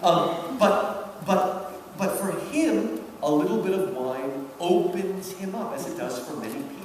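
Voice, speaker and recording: In a big, heavily reverberant hall, a man lectures from a distance. His voice is high and swings widely in pitch. He talks emphatically, driving points home to listeners with questions. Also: expressive, a little slow. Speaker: lecturer giving commentary on a literary work. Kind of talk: animated public lecture with quoted readings. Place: large hall at a public event. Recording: very echoey hall, far off, faint noise.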